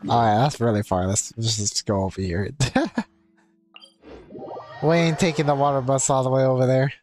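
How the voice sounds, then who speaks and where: A game streamer talks in a casual, offhand way. The voice sits at a middling pitch, with music playing underneath. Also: normal speed, a little mellow.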